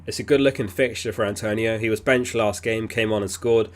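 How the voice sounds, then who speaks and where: Man, fairly low pitch, sniffly, clears throat often, relaxed chatty stream talk. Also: fairly quick. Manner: relaxed, chatty, thinks aloud with fillers. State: sniffly, getting over an illness. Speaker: fantasy football livestream host. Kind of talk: casual livestream chat reading viewer messages.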